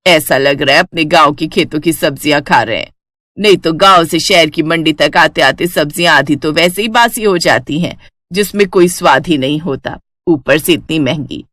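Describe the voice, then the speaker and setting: Blunt old cartoon mother-in-law played by a woman, homely, pitched down, dry sound. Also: normal speed, a bit bright, no echo. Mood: delighted, chatty. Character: elderly village-born mother-in-law, blunt and grumbling.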